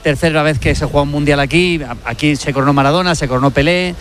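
Male football commentator, rapid self-correcting analysis over stadium background noise. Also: a little high, fairly bright tone. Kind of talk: casual match analysis.

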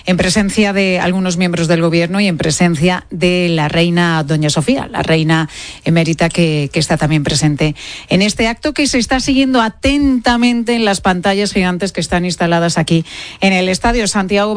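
A woman from Spain hosts live radio talk in a fairly low voice. Her accent is Castilian, and her delivery is conversational. The sound is dry, with very little room around her. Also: smooth, dry recording. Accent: Spanish (Castilian). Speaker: radio host.

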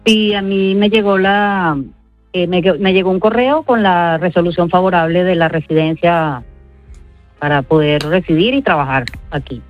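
A woman from Venezuela with a Venezuelan accent answers interview questions informally, in a halting way with repeated words. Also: lowish voice, no room sound, soft background music. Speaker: interview guest. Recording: dull, band-limited radio-like sound.

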